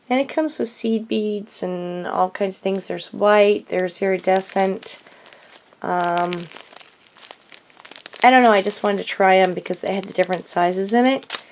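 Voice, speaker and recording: Hoarse woman with a cold, slow chatty. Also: a little high, darkish tone. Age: adult. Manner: chatty, with frequent ums and self-corrections. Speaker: card-making vlogger. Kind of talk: casual craft show-and-tell.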